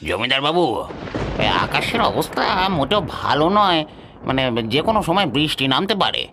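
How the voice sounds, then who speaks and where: A man's voice: on the high side, sing-song, a little fast, music in the background. A man playing a loyal, worried boatman in a melodramatic cartoon story, speaking with deference.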